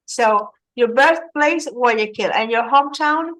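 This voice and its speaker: A woman's voice: on the high side, a little slow, fairly bright tone, rough recording. A woman teaching English, patiently prompting and correcting her student. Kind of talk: online English lesson.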